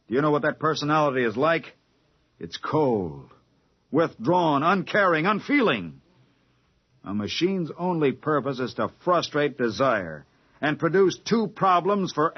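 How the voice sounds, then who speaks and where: An American actor playing a bitter, fatalistic, sardonic spaceship engineer in a 1950s radio drama. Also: male voice, a little high, breathy edge. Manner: sardonic, ranting. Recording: dull lo-fi 1950s radio recording.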